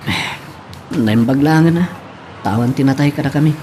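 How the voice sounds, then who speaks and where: A man's voice: mid-range voice, normal speed, music in the background, close to an everyday voice. A man playing an earnest, defiant young male protester in a radio-style drama. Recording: faint background noise.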